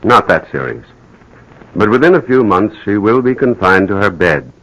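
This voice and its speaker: Deep-voiced, courteous doctor. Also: male voice, very animated, fairly bright tone, low-quality recording. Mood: grave, careful. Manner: measured, hesitant, polite. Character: grave, courteous male physician breaking bad news. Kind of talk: 1940s radio drama acting. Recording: old radio drama broadcast.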